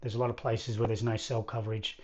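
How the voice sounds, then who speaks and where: A man's voice: fairly low pitch, flat delivery, quick pace, no room sound. A man with an Australian accent chatting casually to viewers as a vlog host, in an easygoing, rambling way. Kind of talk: casual chat to camera. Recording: slightly dull lo-fi audio.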